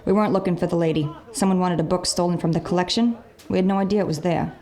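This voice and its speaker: A woman's voice: on the low side, fairly even intonation, quick pace, darkish tone, natural voice. A woman playing a candid leader of a thieving crew in an audio drama, clipped and matter-of-fact.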